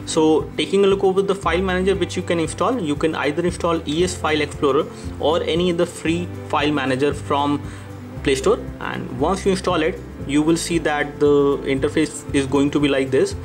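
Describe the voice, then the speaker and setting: Male tech reviewer, fairly high pitch, explanatory hands-on narration over noticeable music. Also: steady tone, brisk.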